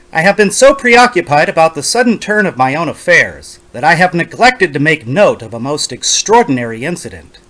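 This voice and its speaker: Lonely, sickly academic narrator for vintage horror , played by a man: formal, precise, brooding, slightly high voice with animated intonation. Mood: reflective, resigned. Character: lonely, ailing bachelor professor, fussy and brooding narrator. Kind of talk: dramatic reading of a 1930s horror story.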